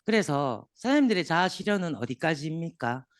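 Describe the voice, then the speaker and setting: A man delivers a motivational seminar talk to business owners. His throat is sore and his voice comes out hoarse. He keeps his pitch lively and animated and teases the audience with questions, and the sound is dry and close. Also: fairly quick, dry recording. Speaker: seminar lecturer. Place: lecture room in front of an audience.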